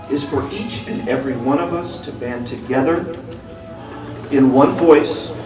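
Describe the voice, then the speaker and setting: A man giving an impassioned public speech as a community activist, driving home repeated calls to action. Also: a little high. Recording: echoey room, distant mic, music behind.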